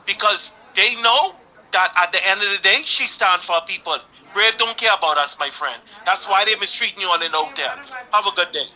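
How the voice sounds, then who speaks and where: A man's voice: high voice, brisk, sharp tone, poor audio. A Bahamian man calling in to a talk show, arguing earnestly and building up heat as he goes. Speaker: caller. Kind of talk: call-in opinion.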